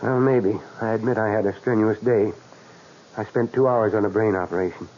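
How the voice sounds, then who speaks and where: A man plays a dedicated brain surgeon in a vintage radio play. The voice is fairly low, earnest and tired, turning to firm command in the manner of 1940s acting. The old recording is dull, with steady background noise. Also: a little fast, lightly acted. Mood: weary.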